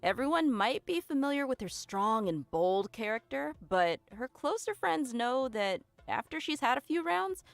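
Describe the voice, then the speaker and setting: A woman's voice: fairly high pitch, gravelly. A woman co-hosting a game preview show, giving scripted updates and upbeat reactions.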